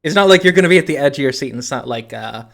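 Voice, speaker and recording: Casual gaming podcast host, medium pitch, conversational delivery. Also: animated, racing, vocal fry, a bit bright. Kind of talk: casual video game podcast chat.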